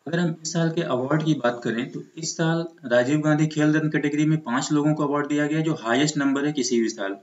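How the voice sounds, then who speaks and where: A man's voice: a little high, a little fast, few pauses. An Indian man teaching exam preparation in an explanatory lecture style, mixing in English terms. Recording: muffled, echoey room recording.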